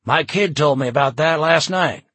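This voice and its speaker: Audiobook bit part: credulous townsperson, played by a male narrator, excitable, raised pitch, dry recording. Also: normal speed, no room sound. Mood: excited, credulous.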